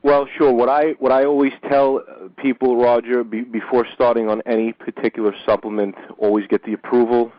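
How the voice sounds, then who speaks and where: A man hosting a call-in radio show, speaking in a measured way and often repeating a word as he starts a phrase. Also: mid-range voice, level tone, no echo. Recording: dull dry lo-fi radio recording.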